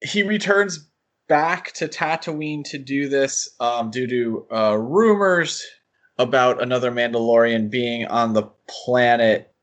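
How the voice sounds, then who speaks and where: A man co-hosting a podcast, discussing things casually in a halting, hedging way. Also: medium pitch. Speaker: podcast co-host.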